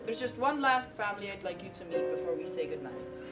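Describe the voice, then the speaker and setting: Young woman as wistful caretaker-guide, distant, loud music. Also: mid-range voice, lively intonation, fast, close to an everyday voice. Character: wistful caretaker guiding audience between apartments. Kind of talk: amateur stage narration.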